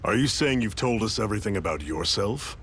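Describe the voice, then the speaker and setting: An actor playing a middle-aged, easygoing rebel leader in a video game, measured, reassuring and plainspoken. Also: male voice, lowish voice, animated, a little hiss or hum, close to an everyday voice. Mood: frank, steady. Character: seasoned, easygoing male rebel leader, fatherly and pragmatic. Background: background music.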